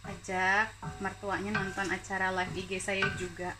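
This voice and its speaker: A woman's voice: medium pitch, little pitch movement, measured pace, heavy background noise. A woman from Indonesia hosting a live cooking stream from her home kitchen, chatting and laughing as she answers viewer questions. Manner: chatty, laughs often, code-switches into English words. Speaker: cooking show host.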